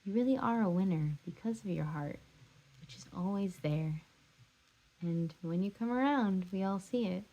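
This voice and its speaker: A woman's voice: mid-range voice, normal speed, mellow, lightly acted, low-quality recording. A woman performing a stage drama role as a heartbroken, insistent jilted lover, pleading emphatically and repeating herself. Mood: tender, exasperated. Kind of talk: stage drama scene and monologue.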